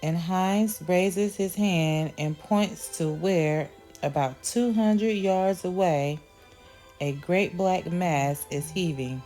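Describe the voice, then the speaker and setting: A woman reads a storybook aloud at an unhurried, deliberate pace, her tone warm and mellow and pitched on the low side. She tells it with careful expression, and soft music plays faintly behind her. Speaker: children's book reader and channel host.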